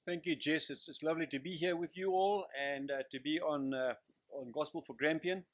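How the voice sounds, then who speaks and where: Older southern African man, higher-pitched voice. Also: fairly quick. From Central Africa (Zimbabwe, Zambia region). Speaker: guest pastor and evangelist. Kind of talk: personal testimony in an interview.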